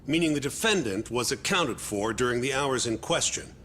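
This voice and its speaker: An actor playing an insistent male defense lawyer in a video game dub, questioning in a formal, deliberate way. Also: medium pitch, expressive, fairly bright tone, slight background noise, natural voice. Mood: formal, pressing. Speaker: defense attorney character. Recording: dramatic music behind the dialogue.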